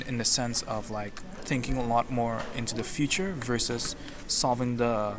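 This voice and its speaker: A man from the West Indies talks through his view in a street interview, pausing and restarting as he works out his thoughts. His voice sits at a middle pitch. Outdoor city noise is clearly heard behind him. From West Indies, Caribbean. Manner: thoughtful, hesitant restarts, builds argument.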